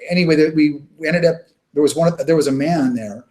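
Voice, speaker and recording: A man speaking as an interview guest, recounting experiences in an earnest, halting, informal conversational way. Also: a little high, darkish tone. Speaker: interview guest, children's music charity worker.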